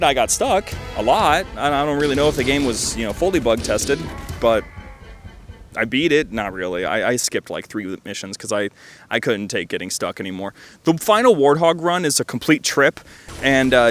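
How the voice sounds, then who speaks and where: A young adult man delivers casual video game commentary in a medium-pitched voice. He rambles conversationally and drops wry, deadpan asides. Prominent music runs underneath his voice. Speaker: gaming video host. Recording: loud music behind the voice.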